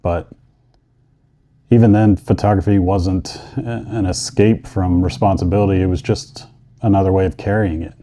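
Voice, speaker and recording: Middle aged guy telling his life story, low pitch, really soft dark tone, measured and earnest. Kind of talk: scripted personal storytelling narration.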